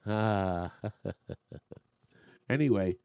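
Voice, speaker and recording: A man's voice: fairly low pitch, extremely slow, a little mellow, clean tone, no echo. A man hosting a live online auction, chatting with bidders and making joking asides while losing his voice. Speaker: auctioneer and show host.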